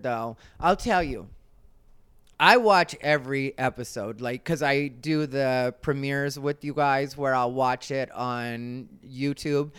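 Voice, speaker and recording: A man's voice: medium pitch, fairly bright tone, smooth, no echo. An American man from Arizona talking in a casual, rambling and conversational vlog style, with emphatic moments. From Arizona, United States. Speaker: comedian and show host. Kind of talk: casual solo vlog chat.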